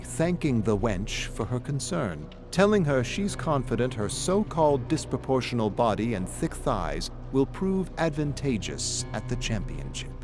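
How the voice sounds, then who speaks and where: A man narrating a scripted story in a dramatic style. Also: mid-range voice.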